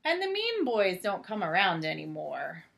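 A middle-aged woman reading a picture book aloud to children as a teacher, expressive and deliberate, with drawn-out emphasis. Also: lowish voice, vocal fry, slight background noise. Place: small home bedroom, indoors.

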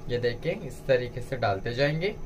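A man's voice: medium pitch, animated, normal speed, a little hiss or hum. A man giving a step-by-step cooking tutorial as a home cook, instructing viewers directly. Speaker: home cook presenting a recipe.

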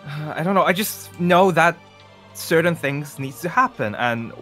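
A man's voice: on the high side, lively intonation, a little slow, fairly bright tone, soft background music. A man playing an uneasy young time traveler who lies badly, hesitating and stuttering through a game roleplay. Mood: troubled, hesitant. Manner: hesitant, stuttering, filler-heavy. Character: young man from the future, uneasy, evasive bad liar. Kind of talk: improvised Minecraft roleplay acting.

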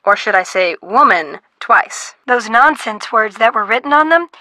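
A woman's voice: a little high, animated, moderate pace, pushed and bright, dry recording. An actress voicing a cheerful teenage girl friend who gives chatty, encouraging hints over the phone in a video game. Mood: upbeat. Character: cheerful teen girl sidekick, upbeat helper.

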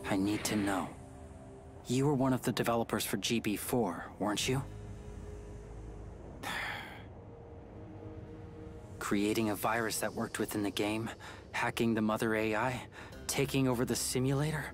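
A man voicing a composed, stern veteran leader in a video game, measured and serious. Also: lowish voice, over music, close to an everyday voice.

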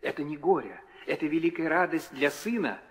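An actor playing a dutiful, steadfast young prince in an old theatrical audio drama, speaking in solemn declamation. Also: male voice, a little high, brisk, natural voice. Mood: solemn resolve. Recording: old radio play, hall reverb.

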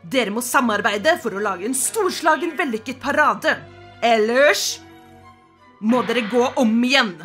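En kvinne som spiller en streng, formell og bebreidende eldre hekserektor i en animert tegneseriedubbing. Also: female voice, fairly high pitch, a bit bright, natural voice. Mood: stern. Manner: formal, authoritative, reproachful. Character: stern, scolding older witch headmistress. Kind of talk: animated cartoon fandub. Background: music.

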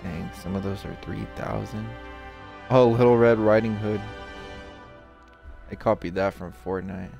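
A man's voice: on the low side, steady tone, measured pace, creaky, pauses often, darkish tone, dull audio, soft background music. A young man giving casual, slangy reaction commentary in short laid-back remarks as a game streamer.

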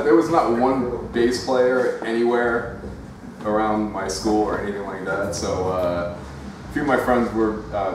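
A man, a band's bassist, chatting in a laid-back, rambling way in an interview, with a deep voice. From Long Island, New York. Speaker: band bassist. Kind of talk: casual interview chat. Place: backstage lounge of a music venue. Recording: echoey room from far off, noisy.

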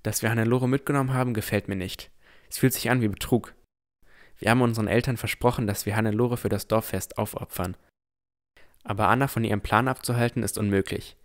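A man's voice: on the low side, fairly even intonation, fast, close to an everyday voice. A man playing a cautious, earnest boy who narrates his own fantasy adventure in an audio drama. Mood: reflective narration. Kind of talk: first-person scripted narration and dialogue.

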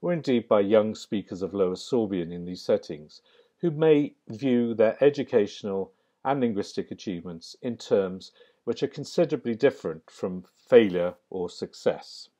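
A man giving a narrated slide lecture in a measured, academic way, pausing with frequent ums. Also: lowish voice, animated, dark tone. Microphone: voice-over on slides.

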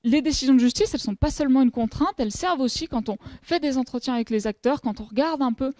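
A woman's voice: high voice, fast talker, warm tone. A woman in her thirties giving a conference talk at a panel table, explaining with frequent hesitations and restarts. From France. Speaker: academic conference speaker. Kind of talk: conference presentation.

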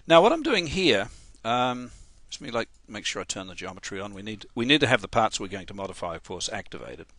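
A man with an Australian accent walks through a software demo as a trainer, pausing often with "uh" and restarting his sentences. His voice sits low but sounds bright and forward. The recording is close and dry. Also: sing-song, no echo. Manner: casual, halting, many fillers and restarts. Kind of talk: screen-recorded software tutorial.

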